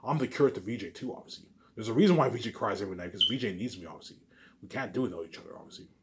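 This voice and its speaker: A man's voice: on the low side, expressive, very fast, slight background noise. A man in a rambling informal monologue to viewers, boastful and circling back over the same phrases. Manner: boastful, self-aggrandizing.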